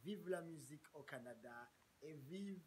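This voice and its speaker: A man's voice: a little high, lively intonation, moderate pace, a little mellow, slight background noise. A young man from Burundi, a rapper giving a friendly, upbeat greeting to a close-up camera in a small room. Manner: upbeat, smiling, friendly address.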